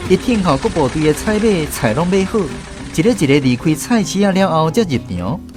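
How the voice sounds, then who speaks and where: A man's voice: on the high side, very animated, brisk, music in the background. A man with a Taiwanese accent narrating a scripted first-person story as the narrator of a radio drama.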